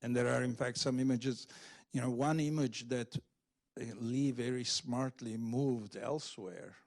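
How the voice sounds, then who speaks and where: A man's voice: medium pitch, unhurried, creaky, a little mellow. A man speaking in a discussion after a reading, searching for words and often checking in with the listeners. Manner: hesitant, searching, often says "in fact" and "right". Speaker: speaker discussing a poetry translation. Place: public event room at a library.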